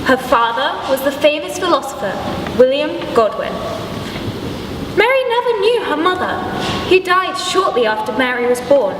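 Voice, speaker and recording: A young woman giving a deliberate, theatrical scripted narration, recorded from a distance in a noisy, echoey hall. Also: high voice, big pitch swings. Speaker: pupil narrator. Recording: distant, echoey hall with loud background noise.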